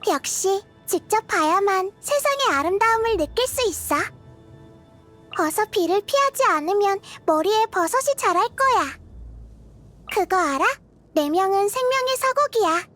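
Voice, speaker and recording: A woman voicing a gentle, curious little girl deity of wisdom in a game, soft-spoken and thoughtful, as if kindly explaining. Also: very high voice, full character voice. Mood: gentle, caring. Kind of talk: scripted game character voice lines. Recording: music behind the voice. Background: background music.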